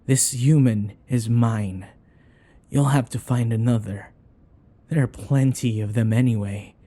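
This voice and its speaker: A man's voice: medium pitch, measured pace, mellow, close to an everyday voice. A man playing a jealous, possessive vampire lover in an ASMR roleplay, with a weary, drawling delivery.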